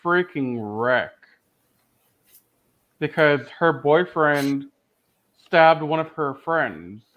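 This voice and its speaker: A man's voice: a little high, animated, extremely slow. A man co-hosting a podcast in casual, opinionated chat, emphatic and slangy, on a dull lo-fi voice track. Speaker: podcast co-host.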